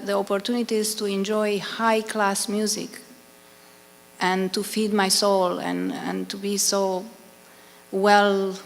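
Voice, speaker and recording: A middle-aged Romanian woman speaks up at a public meeting, earnest and effusive, stopping now and then to search for words. Her voice has a gravelly fry and stays flat in pitch. The sound carries a long hall reverb with a faint hum behind it. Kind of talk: public comment at a consultation meeting.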